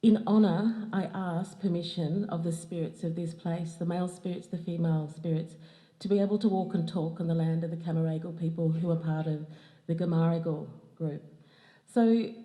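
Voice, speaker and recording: An Australian woman giving a formal acknowledgement of country, speaking in an earnest, measured way. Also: on the low side, fairly even intonation, dark tone. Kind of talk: formal ceremonial address. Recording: echoey hall.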